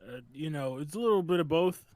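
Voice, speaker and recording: A man's voice: a little high, lively intonation, fast, darkish tone, no echo, lightly acted. A man playing a laid-back young surfer wizard student with a slangy drawl.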